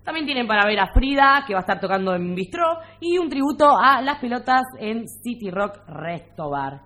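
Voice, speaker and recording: A woman with an Argentine accent and a fairly high voice co-hosts a radio show. She speaks informally and playfully, mixing casual chat with event announcements. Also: big pitch swings, moderate pace, fairly bright tone. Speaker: radio co-host.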